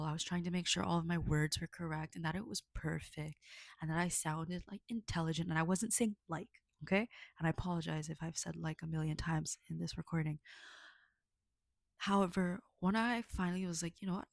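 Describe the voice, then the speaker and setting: Woman, low gravelly voice, casual and earnest, rambles and checks in with listeners; dry close recording. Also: no echo. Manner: rambling, earnest, frequent "okay?" check-ins. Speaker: storyteller reflecting on a fable. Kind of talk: casual spoken reflection to listeners.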